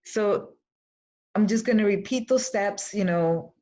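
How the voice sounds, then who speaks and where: A woman's voice: mid-range voice, unhurried, warm tone. A young woman from Los Angeles, who grew up speaking Spanish, hosts a casual webinar, encouraging and often restarting her phrases. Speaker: webinar host and coach. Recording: slightly dull lo-fi webinar audio.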